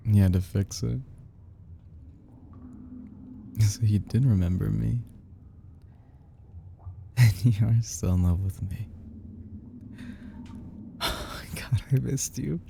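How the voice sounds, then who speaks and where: Man acting a dark, low, lovesick obsessive young man. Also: a little hiss or hum, full character voice. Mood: moved, relieved. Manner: halting, stammering, breathy whispers and sighs. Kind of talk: intimate ASMR roleplay acting.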